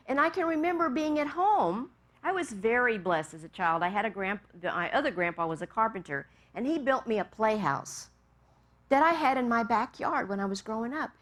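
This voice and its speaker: A woman minister from Texas, leading a meditation and then giving a gentle, storytelling sermon with amused asides. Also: on the high side.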